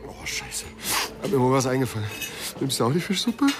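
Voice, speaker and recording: A man's voice: medium pitch, expressive, fairly quick, heavy background noise, close to an everyday voice. An actor playing a sardonic male holiday guest in radio drama dialogue, casual and insinuating. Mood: needling.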